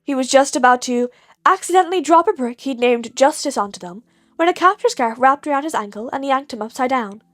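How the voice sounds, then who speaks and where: A woman reads a story as its narrator, in a fairly high voice. The delivery is expressive and scripted, and the sound is dry, with no room on it. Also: brisk, dry recording, faint music behind.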